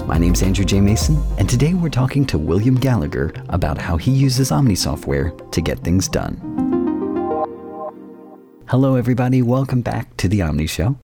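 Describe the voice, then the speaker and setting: A man's voice: fairly low pitch, sing-song, normal speed, music in the background. A man hosting a conversational interview podcast with an enthusiastic, affirming manner. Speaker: podcast host.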